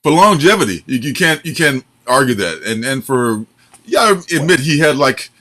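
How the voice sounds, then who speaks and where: A man's voice: mid-range voice, sing-song, moderate pace, bright, forceful tone. A man in his forties, co-hosting a casual chat. He talks in a chatty way, laughs often, and uses a lot of fillers. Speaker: co-host.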